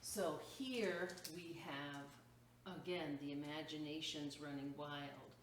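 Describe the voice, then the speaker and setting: A woman with a low voice hosts a children's story time. She reads at a slow, expressive pace and breaks off for casual explanations. The recording is very echoey, as if the microphone sits some way from her. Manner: expressive storytelling, drawn-out words, explanatory asides. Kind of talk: reading a picture book aloud to children, with chatty asides. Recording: echoey room, distant mic, faint noise.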